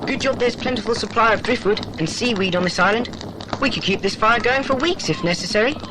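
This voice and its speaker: A woman's voice: fairly high pitch, a little fast, noisy background, lightly acted. Woman as a sensible, earnest British boy. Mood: reassuring. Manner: earnest, reasoning. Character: sensible, reassuring, plucky British boy adventurer. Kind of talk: audiobook dramatisation for children.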